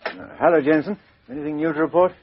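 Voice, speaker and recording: An actor playing a brisk, courteous British police inspector questioning people in a 1950s radio mystery. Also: male voice, on the high side, steady tone, a little hiss or hum, natural voice. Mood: businesslike. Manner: businesslike, questioning, hesitant fillers. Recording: muffled 1950s radio drama recording.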